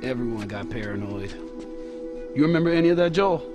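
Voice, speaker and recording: A man playing a thoughtful adult survivor in a video game, measured and conversational. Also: medium pitch, lively intonation, music in the background, close to an everyday voice. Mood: sober.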